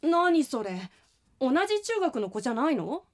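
A woman's voice: high voice, big pitch swings, normal speed. A woman playing a chatty, bossy, teasing young sister of a schoolboy in an audio drama. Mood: scolding.

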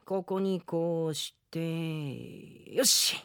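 A man's voice: a little high, very slow, vocal fry, a bit bright, clean tone, dry recording, studio quality, heavily acted. A man playing a carefree, kind young male adventurer in a radio drama, boyish, breezy and eager. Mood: kind, casual.

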